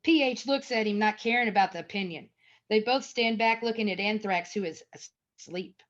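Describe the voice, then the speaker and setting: A woman's voice: mid-range voice, brisk. A woman narrator reading out screenplay stage directions as scripted narration. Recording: dull lo-fi audio.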